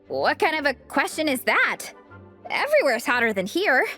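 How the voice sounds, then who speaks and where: A loyal, upbeat young girl in an anime game. Her voice is high and very lively, peppy and reassuring, with faint music behind it. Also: a little slow, heavily acted. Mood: amused. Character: upbeat, loyal, encouraging young girl, a confident best friend.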